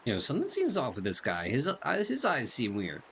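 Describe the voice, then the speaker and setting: A man comments on a game he is playing in a casual, slangy way. His mid-pitched voice swings around a lot as he jokes, with faint background noise. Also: wildly swooping pitch, a little fast, a bit bright. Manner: slangy, wisecracking. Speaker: gaming video creator.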